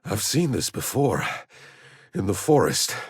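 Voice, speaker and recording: A man playing a gruff, sardonic, battle-worn soldier in a sci-fi video game, with a gritty, husky voice and a terse, dry delivery. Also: on the low side, sing-song, measured pace, dry recording, full character voice. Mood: wary, awed.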